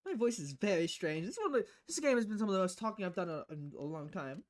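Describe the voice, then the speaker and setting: A woman's voice: mid-range voice, big pitch swings, quick pace. A woman streaming a visual novel, reading the text aloud expressively and often stumbling and correcting herself. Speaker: video game streamer.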